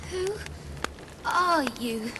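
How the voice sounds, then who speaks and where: A woman's voice: sits high, animated, drawn-out words, full character voice. An actress playing a bold young girl adventurer in a video game, questioning everything warily. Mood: wary, challenging. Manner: questioning, wary. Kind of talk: video game cutscene acting. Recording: noisy game audio.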